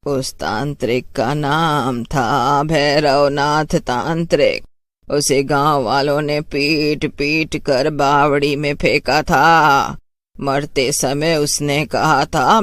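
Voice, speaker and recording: A narrator voicing an old village grandmother who speaks in a slow, drawn-out, grave and ominous way, in a horror story. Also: medium pitch, fairly bright tone, no room sound. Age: older.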